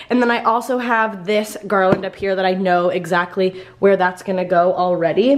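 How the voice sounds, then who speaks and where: A woman's voice: medium pitch, fairly bright tone. Congested upbeat woman in a roomy room. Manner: upbeat and chatty, frequent "like". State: congested, stuffy nose. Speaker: lifestyle vlogger. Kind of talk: casual decorating vlog chat. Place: home apartment, kitchen. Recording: roomy echo.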